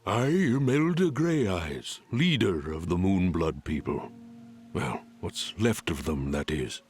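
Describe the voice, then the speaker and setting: An actor playing a solemn, wise old creature elder in a video game, grave and theatrical, with a husky voice. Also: male voice, sits low, expressive, slow pace, a little mellow, heavily acted. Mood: knowing, solemn. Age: older. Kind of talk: video game character acting.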